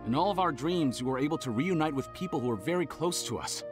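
A man's voice: fairly high pitch, animated, fast talker, music in the background, lightly acted. An actor playing a skeptical, reluctant male villager in a video game, hesitant and a bit defensive.

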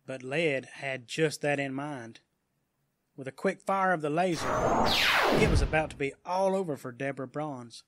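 A man's voice: a little high, moderate pace, a little hiss or hum. A man narrating a scripted story dramatically as an audiobook narrator.

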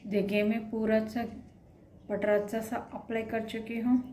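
A woman walks viewers through a snack recipe in her home kitchen, telling them what to do at each step. Her voice is warm and mellow and stays fairly level in pitch. A faint household hum sits under the recording. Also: little pitch movement, moderate pace. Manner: instructive, addresses viewers directly. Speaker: cooking channel host.

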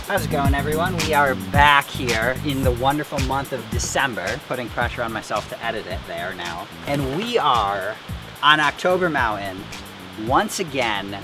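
A young man talking to the camera while he hikes a wooded hillside. He jokes and plays things up with mock drama, and there is music under his voice in the recording. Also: medium pitch. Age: young adult. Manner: jokey, mock-dramatic, rambling. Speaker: host. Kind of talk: outdoor hiking vlog with voice-over narration.

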